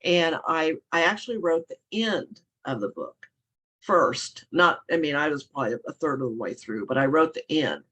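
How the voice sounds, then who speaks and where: A woman author talking casually in an interview, her voice hoarse and froggy from a cold, rambling and correcting herself as she goes. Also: deep voice, expressive, moderate pace, a bit bright. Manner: rambling, self-correcting, chuckling often. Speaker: guest author.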